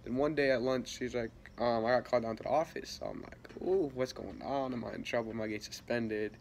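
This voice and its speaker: A man's voice: mid-range voice, fast talker, creaky, slight background noise. Rambling teenage guy telling a story. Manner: rambling, many fillers and restarts, soft laughs. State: a little sick. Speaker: vlogger. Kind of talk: casual storytelling vlog.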